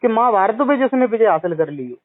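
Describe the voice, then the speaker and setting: A man speaks in a high register over a narrow, tinny telephone connection. He has a western Uttar Pradesh accent and explains his points insistently, pushing to be heard in an argumentative conversation. The sound is dry, with no room around it. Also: big pitch swings, brisk, no room sound. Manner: insistent, explanatory, repeatedly interjecting. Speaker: caller. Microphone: phone line. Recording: tinny phone call recording.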